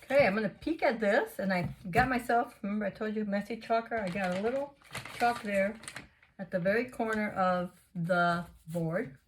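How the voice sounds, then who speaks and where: A woman hosting a casual craft tutorial, chatting through each step and a little hoarse and sniffly. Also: mid-range voice, moderate pace, slight background noise. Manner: chatty, narrates each step, gushes over results. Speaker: craft video host.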